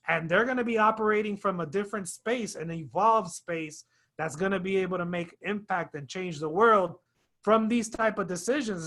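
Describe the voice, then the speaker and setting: A man's voice: high-pitched, bright, forceful tone. A man hosting a real estate webinar, talking emphatically and persuasively. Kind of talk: webinar conversation.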